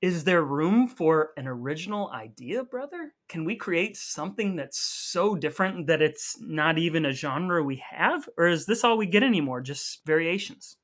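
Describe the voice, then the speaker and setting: A man's voice: on the high side, expressive, normal speed, hardly any pauses, a bit bright. A man in his forties co-hosting a podcast, talking casually in a wry, explanatory way. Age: 40s. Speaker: podcast co-host. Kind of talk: casual conversational podcast talk.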